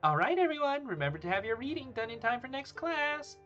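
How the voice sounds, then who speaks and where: A man's voice: very high voice, sing-song, fairly quick, fairly bright tone, lo-fi sound, faint music behind, pitched above the performer's own voice, heavily acted. A man voicing a cheerful, lecturing female schoolteacher in a story. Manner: chirpy, instructive.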